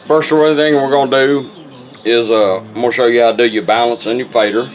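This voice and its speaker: American man, mid-pitched voice, folksy and plainspoken, unscripted demo, music in the background. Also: fairly even intonation, a little fast, poor audio. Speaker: car radio restorer demonstrating his work. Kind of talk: casual unscripted product demonstration. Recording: music playing behind the voice.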